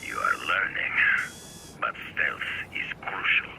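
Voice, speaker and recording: An actor playing a grim hunter mentor, calm and instructive. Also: male voice, takes it slowly, slight background noise, low-quality recording. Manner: calm, instructive, speaks in hunting metaphors.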